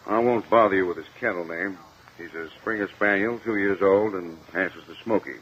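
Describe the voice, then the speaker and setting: A grudging, skeptical male witness in a 1950s radio drama. His voice is deep, with an offhand, matter-of-fact delivery, on a dull lo-fi vintage recording. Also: a little fast, fairly bright tone. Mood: reluctant, dismissive.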